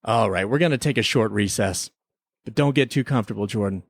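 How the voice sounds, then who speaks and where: A man's voice: on the low side, a little fast, dry recording, studio quality. A man hosting a podcast as an investigator, giving a semi-scripted comedic review in a conversational, mock-official manner. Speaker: podcast host playing an investigator.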